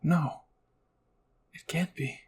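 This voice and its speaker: A man's voice: very slow, a little mellow, a little hiss or hum. A man voicing the inner thoughts of a wounded young girl fighter, anguished and determined, in a dramatic fan fiction. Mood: dawning resolve. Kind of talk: first-person inner monologue, ASMR fan fiction.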